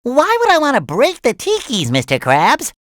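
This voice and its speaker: A man voicing an excitable, naive, cheerful young cartoon sea sponge in a video game, with a squeaky nasal voice and eager, childlike earnestness. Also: normal speed, no room sound, studio quality, full character voice. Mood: earnest. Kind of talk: animated video game voice lines.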